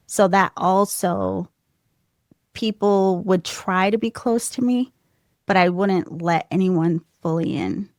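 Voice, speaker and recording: Soft-voiced woman, candid and laughing. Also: lowish voice, takes it slowly, dry recording, studio quality. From United States. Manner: laughs often, animated and self-deprecating. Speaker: podcast guest. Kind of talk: candid conversational storytelling.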